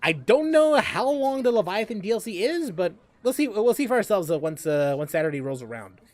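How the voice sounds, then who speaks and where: High-voiced man chatting on a gaming stream. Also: sing-song, dry recording. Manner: halting, repeats and restarts phrases. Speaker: livestream host, gamer. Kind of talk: casual livestream chat while gaming.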